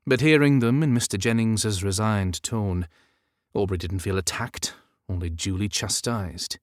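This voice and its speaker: A man's voice: lowish voice, measured pace, no room sound, clean studio sound. A man reading an audiobook as scripted literary narration.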